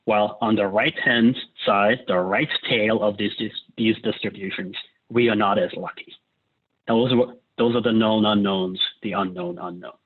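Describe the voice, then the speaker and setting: A man's voice: lowish voice, fairly even intonation, moderate pace. A man from Austria, a climate economist, explaining policy in a loose lecture style, often checking in with "right?". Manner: casual, frequent "uh" and "right?" tags. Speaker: climate economist leading a discussion. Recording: tinny phone-like line.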